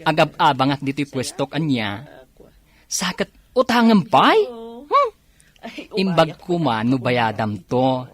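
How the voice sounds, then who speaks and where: A woman's voice: low-pitched, huge pitch swings, takes it slowly, pauses often, heavily acted. A woman playing a blunt, sassy village beauty parlor owner in a comedy radio drama, with an Ilocano accent. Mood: sassy scolding.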